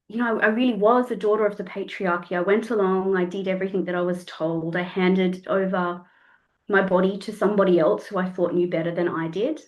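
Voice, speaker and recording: A woman in her forties with a slightly croaky voice, reflecting as a guest in a casual podcast conversation. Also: mid-range voice, steady tone, brisk, poor audio. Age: 40s. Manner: reflective, searching for words, repeats phrases. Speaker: guest, counsellor and somatic sexologist.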